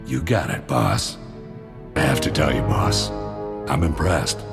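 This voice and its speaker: Very deep, gravelly, wry mercenary soldier. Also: male voice, expressive, unhurried, over loud music, full character voice. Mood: pleased, confiding. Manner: wry, sardonic, laid-back. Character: cynical, greedy mercenary soldier, alien arms dealer with dry humour. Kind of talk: video game cutscene dialogue.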